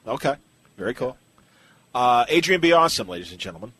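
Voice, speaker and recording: Male podcast host with a mid-pitched voice. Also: big pitch swings, fast talker, fairly bright tone. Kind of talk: casual interview, reading listener questions.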